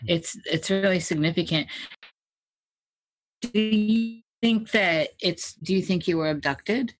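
A woman's voice: on the low side, takes it slowly, faint music behind, rough recording. A woman interviewing a guest, attentive and prompting him with short questions.

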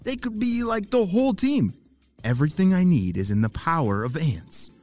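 A man's voice: medium pitch, sing-song, fairly quick, a little mellow, faint music behind, lightly acted. A man voicing an eager, earnest young girl spy with inventive plans in a children's audio story. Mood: thoughtful, eager.

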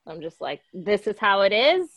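A young woman with a high, youthful-sounding voice, talking frankly from her apartment on a call, laughing often and swearing casually. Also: very animated, normal speed, a bit bright, no room sound. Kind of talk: casual chat.

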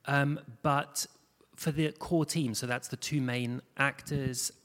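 In a hall with long reverb, a man with a medium-pitched voice speaks off the cuff as a panel guest. His delivery is halting, with repeated words as he gets going. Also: fairly even intonation, a bit bright. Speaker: panel guest and show co-writer. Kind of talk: unscripted panel account. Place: live panel in a reverberant room.

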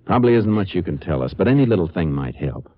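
A man playing a calm, plainspoken Texas Ranger investigator in a 1950s radio drama, steady and understated. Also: deep voice, fast, dry recording. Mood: calm, serious. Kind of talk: 1950s radio drama acting with first-person narration. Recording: muffled, noisy vintage radio broadcast.

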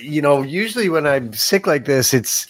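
A man's voice: on the high side, animated, moderate pace, dry recording. A man chatting casually on a podcast while congested from a cold, rambling in a self-deprecating way. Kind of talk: casual chat.

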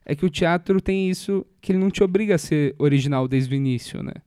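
A host from Minas Gerais with no regional accent, asking questions in a relaxed, joking interview chat. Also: medium pitch, quick pace, smooth, dry recording, studio quality. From Minas Gerais, Brazil.

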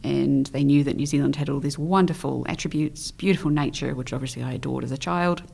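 Low-voiced woman in casual interview. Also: fairly even intonation, brisk, creaky, warm tone, slightly rough. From Isle of Man. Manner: chatty, hesitant, with frequent ums and trailing phrases. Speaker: interview guest.

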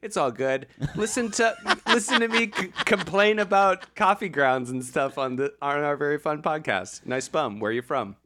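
A man's voice: on the high side, expressive, normal speed, hardly any pauses, pushed and bright. A man co-hosting a comedy podcast, rambling and giggling through casual jokes with his co-host.

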